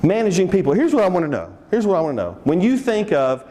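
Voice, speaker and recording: Texan man, Southern accent, seminar presenter, upbeat and jokey, slightly raised pitch. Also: huge pitch swings, fast talker, warm tone, in a large hall, slight background noise. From Texas. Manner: upbeat, coaxing the audience, joking. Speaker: seminar speaker. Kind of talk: interactive business seminar talk.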